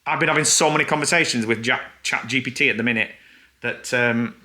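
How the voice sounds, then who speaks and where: Chatty bright-voiced man, rambling on. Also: mid-range voice, fast talker. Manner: rambling, enthusiastic, many fillers. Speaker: vlog co-host. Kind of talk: casual chat to camera.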